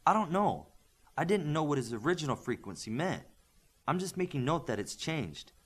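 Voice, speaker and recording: A man's voice: on the high side, big pitch swings, moderate pace, husky, pitched above the performer's own voice. A man voicing an inquisitive, analytical superheroine with a questioning, matter-of-fact manner in audiobook dialogue.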